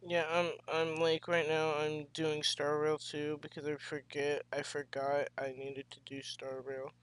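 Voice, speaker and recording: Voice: medium pitch, monotone, measured pace, fairly bright tone, clean tone. A teenager chatting casually while commenting over video game play. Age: teens. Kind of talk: casual chat over gameplay. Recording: faint game music behind.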